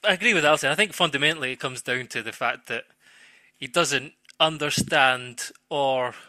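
Male podcast co-host, mid-pitched and strikingly sharp in tone, talking through sports analysis in halting, reworked phrases. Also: no echo. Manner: halting, self-correcting.

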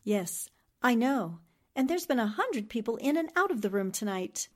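A poised, clever lady sleuth played by a woman in an old-time radio mystery. Her fairly high voice moves expressively while staying courteous. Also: big pitch swings, normal speed. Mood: thoughtful. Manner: polite, composed. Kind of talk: 1940s radio drama acting.

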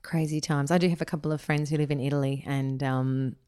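A woman's voice: brisk, clean tone. A woman in her thirties co-hosting a podcast in casual, chatty talk, laughing often. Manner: chatty, laughs often, expressive swings in pitch. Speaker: podcast co-host. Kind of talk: casual chat.

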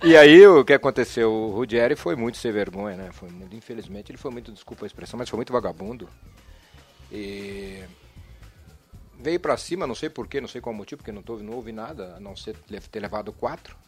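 A man's voice: fairly low pitch, fast, faint music behind. A man, a football coach and former player, telling stories bluntly in casual slang as a radio show guest. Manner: blunt storytelling with frequent slang. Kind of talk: casual chat.

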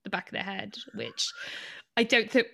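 A woman's voice: medium pitch, sing-song, moderate pace, vocal fry, pushed and bright. A woman hosting a casual comic panel chat in a wry, teasing way. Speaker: podcast host.

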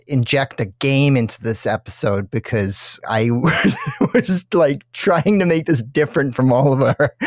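Slow-talking man hosting an interview. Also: medium pitch, no echo, studio quality. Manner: chatty, laughs often, teasing. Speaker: radio show host. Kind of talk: casual interview chat.

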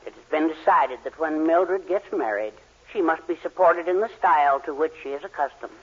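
An actor playing a meek, kindly middle-aged husband, henpecked and hesitant, in a 1940s radio sitcom. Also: male voice, fairly high pitch, normal speed, husky. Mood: apologetic, hesitant. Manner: hesitant, hemming and hawing. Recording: muffled 1940s radio broadcast recording.

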